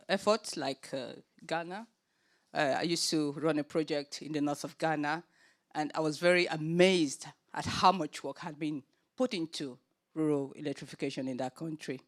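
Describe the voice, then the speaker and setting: A woman with a Nigerian accent chairing a forum panel, speaking earnestly with frequent filler pauses. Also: deep voice. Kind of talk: opening remarks at a forum panel.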